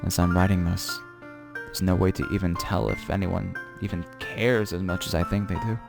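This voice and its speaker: Haunted young man for horror diary reading, male actor: low pitch, frightened, paranoid, dramatic, over faint music. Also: flat delivery, moderate pace, gravelly. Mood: spiralling paranoia.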